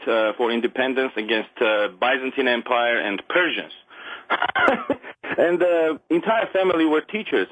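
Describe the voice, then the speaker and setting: A middle-aged man from Armenia whose first language is Armenian, speaking English with an Armenian accent. He calls in to a radio show and talks earnestly, haltingly, with many restarts and non-native grammar. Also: mid-range voice, a bit bright, poor audio. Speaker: caller. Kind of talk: call-in radio conversation.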